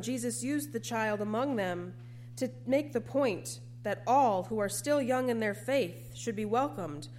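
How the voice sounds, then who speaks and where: A woman preacher delivering a sermon in a measured, reflective, plain-spoken way. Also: a little high, darkish tone, slight background noise.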